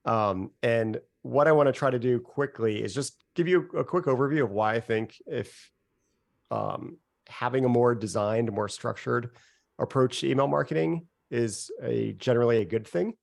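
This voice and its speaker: A man getting over a cold, with a nasal voice, giving a relaxed, conversational workshop presentation over a video call. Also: medium pitch, moderate pace, creaky, no echo, studio quality. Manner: relaxed and conversational, chuckles at himself. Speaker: software founder presenting a workshop. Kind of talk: casual live webinar presentation.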